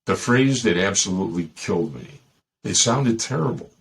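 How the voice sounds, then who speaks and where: A man's voice: on the low side, expressive, moderate pace, creaky, slight background noise, rough recording. A middle-aged man with a Chicago accent, hosting a casual dating-advice talk full of joking asides. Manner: joking asides, loose and rambling. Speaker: host.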